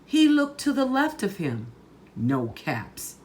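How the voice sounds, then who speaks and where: A woman reading a children's story aloud in a deliberate, emphatic, dramatic way. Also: mid-range voice, huge pitch swings, a little mellow. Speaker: storytime reader.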